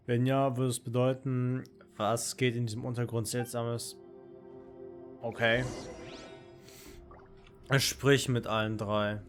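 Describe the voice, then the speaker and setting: A man gives casual live gameplay commentary in a joking, offhand way. His pitch is average, and game music plays faintly behind him. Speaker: game streamer.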